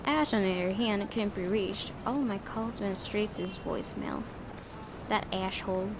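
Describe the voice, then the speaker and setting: A woman's voice: mid-range voice, moderate pace, noisy background. A woman let's player reading visual novel narration aloud, with casual asides and occasional stumbles that she corrects. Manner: stumbles over words and corrects herself.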